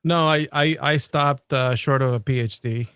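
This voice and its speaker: A man from Colombia who talks in a measured, thoughtful way, with some restarts, in a casual podcast conversation. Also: medium pitch, fairly bright tone, clear, resonant tone, no room sound, clean studio sound. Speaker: podcast host.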